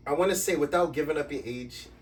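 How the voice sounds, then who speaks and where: A man hosting a podcast from a basement home studio, giving lively, playful introductions and questions. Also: on the high side, fast, far from the mic, a little hiss or hum. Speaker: podcast host. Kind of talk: podcast interview.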